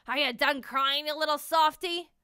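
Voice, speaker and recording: A woman puts on a raspy little raisin man from a storybook, a shriveled rebel. He talks gruffly in slang, brags and taunts, and pushes his words out bright and forceful. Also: high voice, moderate pace, no echo, studio quality, pitched above the performer's own voice. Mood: taunting. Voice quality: raspy, coughing. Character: shriveled old rebel raisin guy, boastful drifter.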